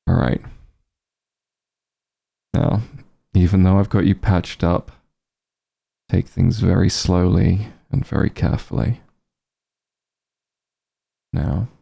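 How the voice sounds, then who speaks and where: Man acting as caring back-room doctor, ASMR roleplay, very mellow and dark, gravelly, slow, reassuring. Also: exaggerated voice. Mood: firm, caring. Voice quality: husky, low, gravelly. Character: gentle, concerned back-room doctor, an old friend.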